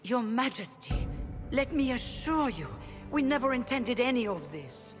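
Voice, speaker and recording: An actress playing an anxious but dignified leader of rebel mages in a video game, formal and earnest. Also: female voice, a little high, big pitch swings, unhurried, music in the background. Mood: pleading. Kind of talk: video game cutscene acting.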